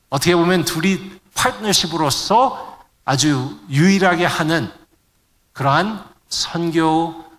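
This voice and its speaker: Bright-voiced middle-aged man preaching in a reverberant hall. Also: fairly high pitch. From Korean immigrant family in the United States. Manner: searches for words, mixes in English terms. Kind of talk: sermon to a congregation.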